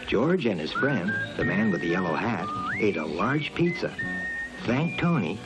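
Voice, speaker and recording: A man reading a scripted children's storybook narration in an expressive storytelling manner. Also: on the low side, measured pace. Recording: muffled old cartoon soundtrack, background music.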